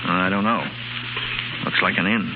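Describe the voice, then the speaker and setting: An actor playing a sardonic, commanding fugitive in a 1930s radio drama. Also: male voice, deep voice, fairly even intonation, unhurried. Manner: bossy, sardonic, theatrically boastful. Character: brash fugitive man, commanding, sardonic, wrongly accused. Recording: muffled, hissy 1930s radio broadcast.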